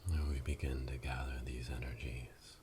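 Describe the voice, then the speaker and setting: A man playing a solemn witch leading a dark initiation ritual in an ASMR roleplay, whispering slowly and breathily in a monotone incantation, recorded close on binaural microphones. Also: extremely low pitch. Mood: solemn, hushed. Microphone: binaural microphones, close to the ears. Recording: close binaural recording with clear background noise.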